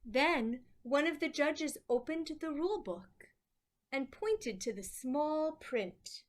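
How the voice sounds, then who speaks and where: A woman with a slightly high voice reads a children's story aloud. She takes her time, pausing between short phrases and giving the words expression. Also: animated. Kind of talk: slow, expressive picture-book read-aloud.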